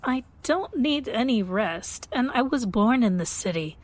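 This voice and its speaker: An actress playing a self-assured, commanding high-born woman who speaks formally in an audio drama. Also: expressive, a little slow. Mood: cool, skeptical.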